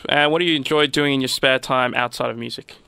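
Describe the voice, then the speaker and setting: A male host asks direct questions and reads promos plainly. His voice is bright and smooth with a gravelly edge, and dry close to the mic. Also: mid-range voice, steady tone, fast talker, no room sound. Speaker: interview host. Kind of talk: interview questions and show outro.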